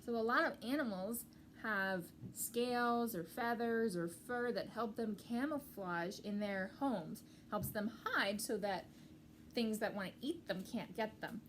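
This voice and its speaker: A woman's voice: fairly high pitch, measured pace, slight background noise. A woman reading a picture book to young children, explaining things in simple, repeated words like a teacher. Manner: clear, simple explaining with repetition for small children. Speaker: children's story-time reader and teacher.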